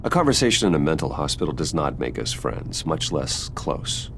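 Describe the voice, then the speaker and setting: A man's voice: extremely low pitch, big pitch swings, normal speed, creaky, a bit bright, a little hiss or hum, exaggerated voice. An actor playing a guarded, serious male vigilante in a video game, terse and dry. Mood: guarded, defensive. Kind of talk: naturalistic video game acting.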